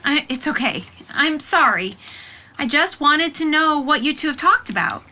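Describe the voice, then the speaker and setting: An actress playing an anxious, overprotective middle-aged aunt in an audio drama, careful and apologetic in manner. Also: female voice, sits high, animated, moderate pace, pushed and bright. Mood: apologetic, flustered. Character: anxious, overprotective, well-meaning aunt hosting dinner.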